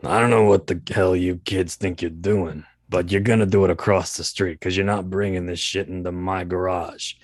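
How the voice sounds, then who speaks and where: A man voicing a burly, battle-scarred old veteran mechanic, gruff and scolding, for a sci-fi audio drama. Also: sits low, fairly even intonation, moderate pace, hardly any pauses, dry recording, studio quality. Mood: gruff, irritated.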